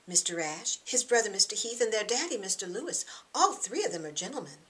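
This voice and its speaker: Audiobook role: loyal young woman, read by a female narrator, clear forward tone, earnest, defensive. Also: mid-range voice, sing-song, fairly quick, a bit bright, voice pushed up.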